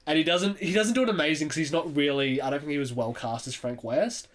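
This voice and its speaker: A man's voice: on the high side, quick pace. A man on a podcast panel giving his opinions in a casual, conversational way.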